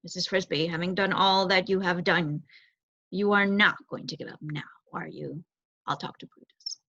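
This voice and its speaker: Lady doing a kindly tired old man mouse from a storybook, measured, husky, muffled. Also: fairly low pitch, fairly even intonation, fairly bright tone, voice pushed down. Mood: assured. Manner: measured, cordial, reassuring. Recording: roomy echo, dull muffled sound.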